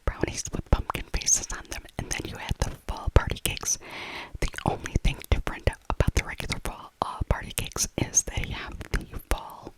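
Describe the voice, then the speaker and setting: A woman speaking in a breathy whisper, narrating casually in ASMR style and softly repeating her phrases. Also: moderate pace, low-quality recording. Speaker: ASMR video creator narrating a shopping trip.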